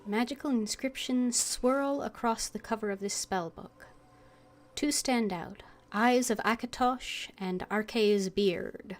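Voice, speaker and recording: A woman's voice: fairly high pitch, slow pace. A woman playing a game on a livestream, casually murmuring to herself as she thinks aloud and reads. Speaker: game streamer. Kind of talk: casual game livestream, voicing her character's lines.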